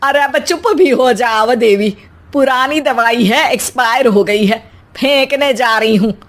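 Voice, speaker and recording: A woman's voice: on the high side, unhurried, natural voice. A woman voicing an exasperated, sarcastic middle-aged mother-in-law in a cartoon comedy, scolding in a wry, colloquial way. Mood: irritated.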